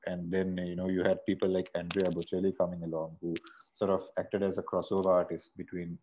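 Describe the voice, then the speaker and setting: A man's voice: low voice, little pitch movement, fairly quick, mellow, a little hiss or hum, poor audio. A man from Sri Lanka, a singer, talking as a guest over a video call in a casual, rambling way with many restarts. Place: bright room indoors. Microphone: earphone mic on a video call.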